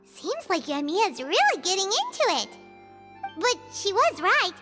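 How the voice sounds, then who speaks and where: A very high, squeaky little girl fairy mascot chirps with eager curiosity. The delivery swings up and down in a lively anime game style, with loud music playing underneath. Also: unhurried, exaggerated voice. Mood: bright, chatty. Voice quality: squeaky, childlike. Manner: speaks of self in third person, eager. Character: small flying childlike girl mascot companion, cheerful and curious.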